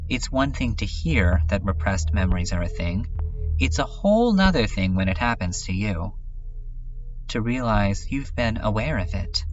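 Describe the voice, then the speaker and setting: A man playing a brooding, haunted adult narrator in an introspective dramatic monologue, reflective and halting. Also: fairly low pitch. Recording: dull lo-fi recording, distant mic. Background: music.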